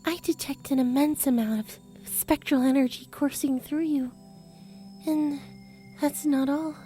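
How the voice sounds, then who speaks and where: A woman acts out an eerie teenage spirit girl from a fantasy audiobook, high and mellow. She speaks slowly and dreamily, playful yet condescending. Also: steady tone, faint music behind. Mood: intrigued. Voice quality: dreamy, distant. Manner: condescending, unhurried musing. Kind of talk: fantasy audiobook character acting.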